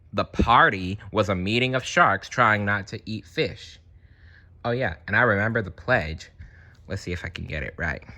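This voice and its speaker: A man reading a children's storybook aloud in an animated, chatty way, slipping in casual asides. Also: fairly low pitch. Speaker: storybook reader.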